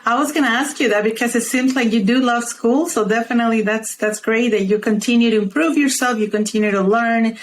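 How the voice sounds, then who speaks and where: A woman's voice: a little high, steady tone, brisk, hardly any pauses. A woman hosting a podcast interview, encouraging her guest and asking long, rambling questions. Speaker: podcast host. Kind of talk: casual interview talk.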